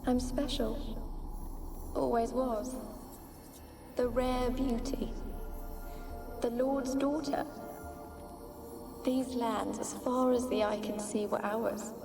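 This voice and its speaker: Sad haughty ghost noblewoman in a game, high slow voice, echoey with music. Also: fairly even intonation, vocal fry. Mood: proud, wistful. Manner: haughty, plaintive, drawn-out. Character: mournful ghost of a proud young noblewoman. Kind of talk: video game voice acting.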